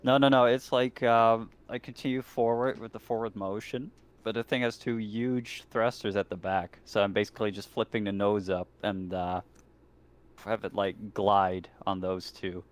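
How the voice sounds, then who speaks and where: Guy with a deepish voice just chatting thru a tabletop game. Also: flat delivery, normal speed, smooth. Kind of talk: casual chat. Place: online voice call.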